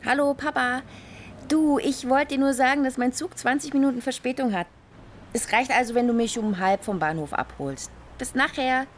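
A woman's voice: high-pitched, moderate pace, a little hiss or hum, close to an everyday voice. An actress playing a wry, frank young mother in her thirties, with dry, direct, colloquial delivery in a naturalistic audio drama. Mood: casual.

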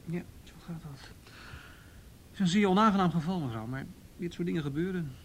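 Actor as patient police detective, higher-pitched male voice. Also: slight background noise, natural voice. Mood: calm, tactful. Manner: measured, hesitant, procedural questioning. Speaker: actor in a radio play. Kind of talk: Dutch radio drama dialogue.

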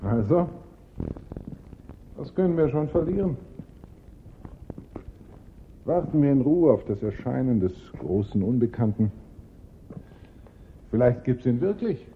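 An actor playing a cautious, non-committal professor in a radio play, speaking in a measured, lecturing way. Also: male voice, medium pitch, expressive, narrow, tinny audio, slight background noise, close to an everyday voice. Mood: detached. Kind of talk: German radio play acting.

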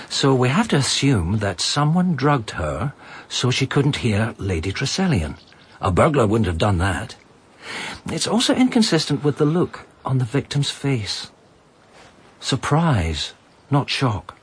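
An actor playing a disgraced former chief inspector in a radio drama, measured and reasoning. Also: male voice, medium pitch, husky, heavily acted. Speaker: former detective.

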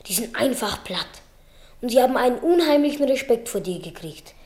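A woman playing a loyal, gentle schoolboy in a radio drama, soft-spoken and earnest. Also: a little high, animated, brisk, lightly acted. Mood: earnest admiration.

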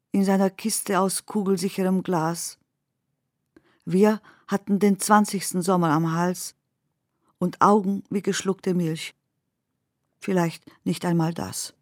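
An actress narrating surreal, dreamlike memories in a poetic radio drama, with measured and deliberate phrasing. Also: female voice, medium pitch, steady tone, no echo, clean studio sound, natural voice. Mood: reflective.

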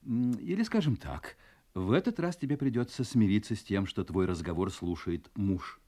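Radio play: domineering husband, low husky voice, swinging intonation, patronizing menace. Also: male voice, expressive, moderate pace, mellow. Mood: cold, watchful. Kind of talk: Russian audio drama acting.

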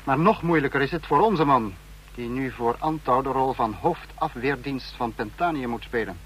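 An actor playing a cold, calculating male security chief in a vintage radio drama, speaking in a measured, commanding way. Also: medium pitch, a bit bright, slight background noise, natural voice, low-quality recording. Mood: cold, brooding. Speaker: spymaster in a radio play.

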